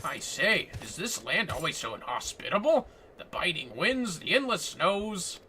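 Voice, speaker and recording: A man voicing a pompous, bombastic gentleman inspector from a video game, with grandiose, old-fashioned, theatrical diction. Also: on the high side, sing-song, measured pace, slight background noise, exaggerated voice. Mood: haughty, boastful. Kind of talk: video game dialogue read aloud.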